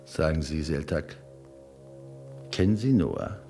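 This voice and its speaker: An actor playing a thoughtful, earnest and bewildered newcomer to a space station in a reflective, measured 1970s radio play style. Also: male voice, a bass voice, big pitch swings, vocal fry, mellow, faint music behind, exaggerated voice.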